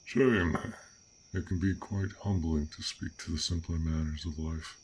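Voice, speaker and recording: Gentle dragon for fantasy audio roleplay, played by a man: very low pitch, unhurried, formal old-world phrasing, curious and polite. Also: fairly even intonation, slight background noise. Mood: pensive. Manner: formal, old-fashioned wording, unhurried and pensive. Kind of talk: scripted roleplay audio drama.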